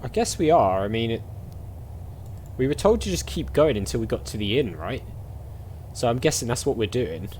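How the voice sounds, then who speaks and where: A man's voice: medium pitch, big pitch swings, normal speed, long pauses, darkish tone, slight background noise. A man doing casual let's play commentary, thinking aloud as he goes. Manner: thinking aloud, reacting to the game. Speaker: gaming streamer.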